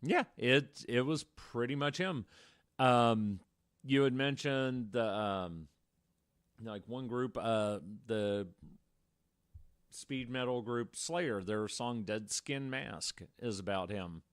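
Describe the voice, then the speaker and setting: A man's voice: mid-range voice, slow pace, clean tone, dry recording. Man telling stories in a dry, close recording. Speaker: podcast co-host. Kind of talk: casual storytelling about true crime.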